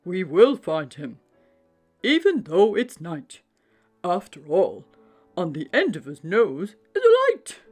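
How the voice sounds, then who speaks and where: A woman voicing an elderly Santa in a children's storybook, fretting and exclaiming theatrically with drawn-out words. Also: on the low side, huge pitch swings, a little mellow, faint music behind, pitched below the performer's own voice, exaggerated voice. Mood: hopeful, calling out. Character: upset, worried old Santa, elderly man fretting over reindeer.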